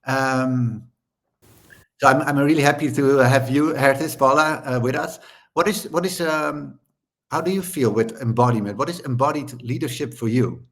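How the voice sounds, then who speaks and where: Male host, mid pitch, halting and full of fillers. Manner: hesitant, many repeated starts and fillers. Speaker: co-founder and host. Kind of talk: hosting an online talk.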